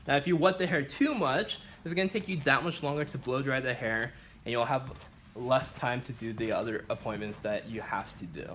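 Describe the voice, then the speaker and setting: A man's voice: mid-range voice, fairly quick, a bit bright, a little hiss or hum. A man teaching as a barbering instructor, explaining a practical demonstration step by step.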